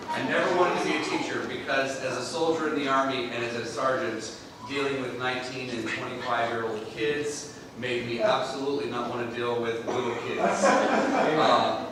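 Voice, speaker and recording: A man teacher with a loud, boisterous voice, telling a story to an audience at a school ceremony. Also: mid-range voice. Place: church hall. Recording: echoey hall, far from the mic, noise.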